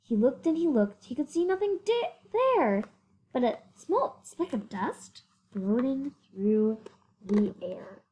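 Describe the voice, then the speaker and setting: A female voice reads a children's picture book aloud. The voice is high and the delivery is expressive, with short asides to explain the story. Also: takes it slowly, slight background noise. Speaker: storybook reader.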